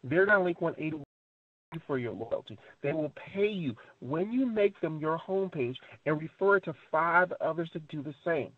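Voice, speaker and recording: A man presenting a motivational network marketing pitch over a conference call, speaking emphatically in a repetitive, preacher-like cadence full of rhetorical questions. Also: lively intonation, moderate pace, breathy edge, no room sound. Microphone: telephone conference call. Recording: tinny narrow phone line.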